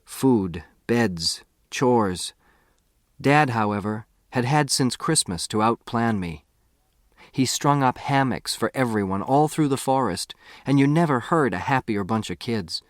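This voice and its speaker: A man narrates a novel in the first person with a medium-pitched voice. The delivery is scripted storytelling, recorded close in a dry studio. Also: expressive, unhurried, dry recording. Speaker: audiobook narrator.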